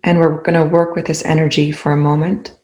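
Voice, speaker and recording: A woman leading a guided meditation in slow, measured phrases with pauses between them. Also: low voice, flat delivery, darkish tone.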